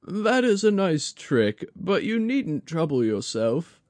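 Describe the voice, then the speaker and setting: A man voicing an older male wanderer, sly, guarded and measured in his politeness. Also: fairly high pitch, very animated, a little mellow, no echo, pitched above the performer's own voice.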